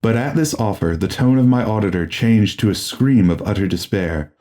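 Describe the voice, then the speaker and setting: Low, dark-voiced male horror narrator. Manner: grave, deliberate, formal. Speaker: audiobook narrator. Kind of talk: dramatic scripted horror narration.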